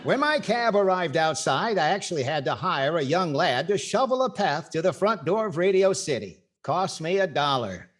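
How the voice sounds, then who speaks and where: A man's voice: a little high, very animated, moderate pace, hardly any pauses. An older man playing a wry, wisecracking comedy host in a 1940s radio style. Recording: clear background noise and clicks.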